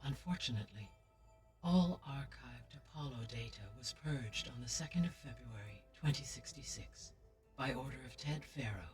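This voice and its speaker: A woman voicing a calm, formal female AI guardian in a video game, speaking in a measured, precise way. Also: rumbling low. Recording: distant game audio with music.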